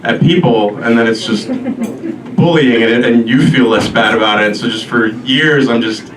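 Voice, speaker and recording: Guy from albania doing standup, dry halting delivery, roomy echo, mic kinda far off. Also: mid-range voice. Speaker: stand-up comedian. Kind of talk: stand-up comedy set. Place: live comedy show before an audience. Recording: roomy echo, distant mic, noisy live venue.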